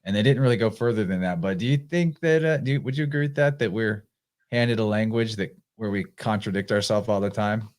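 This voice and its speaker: A man hosting a live podcast in casual chat, relaxed and reading out listener comments as he goes. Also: lowish voice, fairly quick. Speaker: podcast host.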